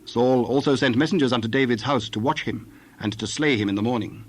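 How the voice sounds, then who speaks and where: A man narrating a dramatized Bible reading in a measured, formal storytelling style. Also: mid-range voice.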